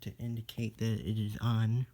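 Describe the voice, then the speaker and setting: A person giving a casual hands-on electronics demo in a halting, piecemeal way. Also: medium pitch, little pitch movement, dark tone. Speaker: demonstrator in a homemade electronics video.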